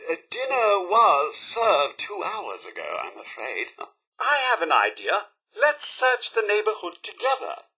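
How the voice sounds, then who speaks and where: A man's voice: high-pitched, wildly swooping pitch, unhurried, sharp tone, slightly rough, heavily acted, poor audio. A man voicing a fussy, devoted robot butler in a video game, with a British accent and a formal, theatrical, effusively polite delivery. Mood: chatty, wistful. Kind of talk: video game character voice acting.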